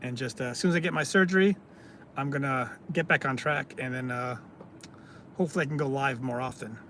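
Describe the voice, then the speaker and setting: A man from California, hosting a casual live stream, reading out viewer comments and answering them in a halting way with many fillers. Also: mid-range voice, fairly bright tone, a little hiss or hum. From California, United States.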